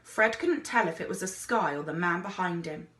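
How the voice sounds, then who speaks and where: A woman reading a children's adventure story aloud as an expressive narrator, like a teacher reading to a class. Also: on the low side, moderate pace. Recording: echoey room.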